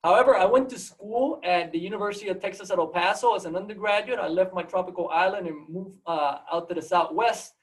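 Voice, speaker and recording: A man's voice: high voice, fast talker, few pauses, distant mic, rough recording. A man with a Puerto Rican accent, a native Spanish speaker, giving an informal, storytelling seminar talk on a video call and often repeating words as he goes. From Puerto Rico. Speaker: guest seminar speaker, biology professor.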